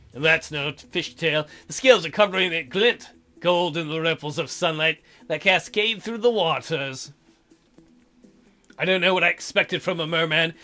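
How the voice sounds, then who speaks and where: High-voiced man reading with joking asides. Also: bright, forceful tone. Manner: irreverent, crude, sarcastic commentary. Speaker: let's play host reading a visual novel aloud. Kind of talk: reading story text aloud with joking asides.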